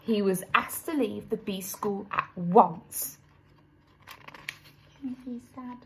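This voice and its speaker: A woman narrating a children's story expressively, with dramatic, drawn-out emphasis on key words. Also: medium pitch, noisy background. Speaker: storybook reader.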